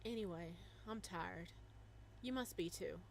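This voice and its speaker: A woman reading the lines of a gentle, soft-spoken young man in a visual novel, with a subdued, lowered voice. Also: a little slow, slight background noise. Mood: tired.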